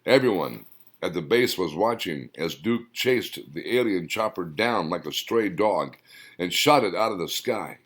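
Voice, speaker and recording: A man reading his own story aloud as audiobook narration, in an expressive storytelling manner. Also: on the low side.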